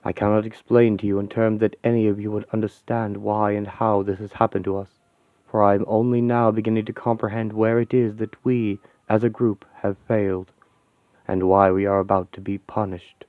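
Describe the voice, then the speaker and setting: A man voicing a solemn male android who confesses gravely and slowly, over tinny, phone-like audio. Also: on the low side, flat delivery, no echo, lightly acted.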